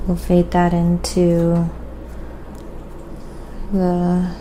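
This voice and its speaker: A soft-spoken woman hosting a quiet ASMR painting livestream, musing aloud in a relaxed, rambling, casual way and often slipping toward a whisper. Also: lowish voice, drawn-out words, a little hiss or hum, poor audio.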